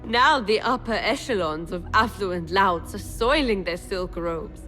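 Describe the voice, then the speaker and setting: An actress playing a wry, sharp-tongued female informant in a video game, speaking in a mocking way. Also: mid-range voice, lively intonation, measured pace, big-room sound, soft background music. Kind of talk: video game cutscene acting.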